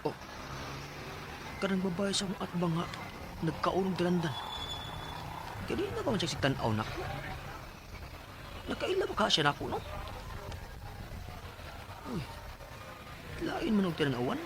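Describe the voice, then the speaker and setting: A man's voice: high-pitched, big pitch swings, unhurried, pauses often, background noise, heavily acted. An actor playing an earnest, lovestruck male student, speaking expressively in a radio drama over music. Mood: shy, hesitant. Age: young adult. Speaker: letter narrator and lead character. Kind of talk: radio drama acting and narration. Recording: music under the voice.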